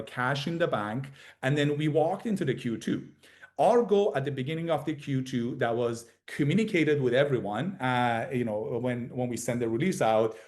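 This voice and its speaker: Halting male executive presenting to investors. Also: medium pitch. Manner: halting, frequent repeats and self-corrections. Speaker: company executive. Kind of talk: investor earnings call presentation.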